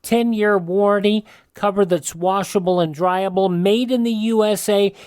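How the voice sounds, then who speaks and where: A man hosting a radio show in a conversational style, reading announcements and sponsor pitches. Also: fairly even intonation, normal speed, a little mellow, clear, resonant tone, dry recording, clean studio sound.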